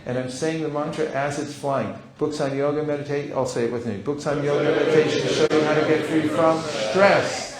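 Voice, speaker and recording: A man's voice: a little high, fairly even intonation, a little fast, hardly any pauses, heavy background noise. Playful man teaching in an echoey hall. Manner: playful, acts out little dialogues. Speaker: seminar teacher. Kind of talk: live seminar talk. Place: large hall. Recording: roomy hall sound.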